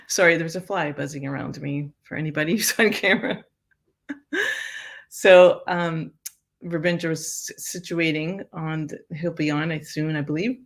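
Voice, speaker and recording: A woman's voice: deep voice, fairly even intonation, measured pace. An older woman hosting an interview show, talking casually with many fillers and restarts. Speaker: host.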